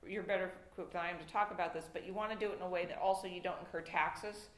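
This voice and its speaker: A woman on a finance panel who explains things in a measured way. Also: lowish voice, steady tone, slight background noise. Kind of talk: unscripted panel discussion. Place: event hall with audience. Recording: echoey room.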